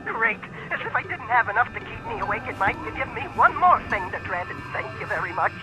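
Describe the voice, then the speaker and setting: A man's voice: high voice, expressive, quick pace, music in the background, low-quality recording. A man playing an anxious, sarcastic scientist in a video game, fretful and exasperated. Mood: dread, sarcasm. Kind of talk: animated video game acting.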